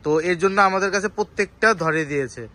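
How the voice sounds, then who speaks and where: Piercingly bright, high-voiced Bangladeshi promo host. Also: male voice, fairly quick. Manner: eager, echoes and repeats the seller's points. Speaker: promotional co-host from the toy shop. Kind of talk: casual promotional interview.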